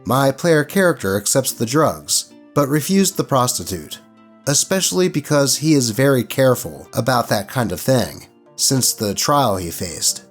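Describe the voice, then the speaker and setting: A man hosts a story-reading show with an expressive, theatrical delivery. His pitch swings freely as he reads out posts and adds his own asides. Soft music plays behind his narration. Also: normal speed. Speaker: host narrator of online tabletop horror stories.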